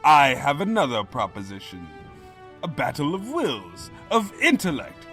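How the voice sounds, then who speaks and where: A man's voice: a little high, huge pitch swings, measured pace, fairly bright tone, soft background music, full character voice. A man playing a pompous, scheming evil pony king in a theatrical, grandiose manner, in a fan fiction audio drama. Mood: grandiose, challenging.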